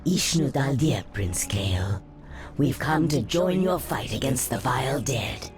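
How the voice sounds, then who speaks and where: A woman voicing a cool, calculating naga noblewoman in a video game cutscene, speaking in a soft, sibilant, persuasive way. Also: a bass voice, expressive, a little slow, faint music behind. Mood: insistent. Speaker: naga leader.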